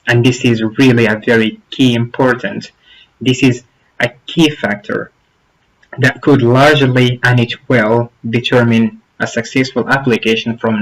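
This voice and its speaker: A young man whose first language is Arabic, hosting his own channel and giving application tips in an informal spoken tutorial. He sounds earnest and advisory, stresses points by repeating them, and speaks deliberately with restarts and fillers. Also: mid-range voice, a bit bright, low-quality recording. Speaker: YouTube channel host giving application advice.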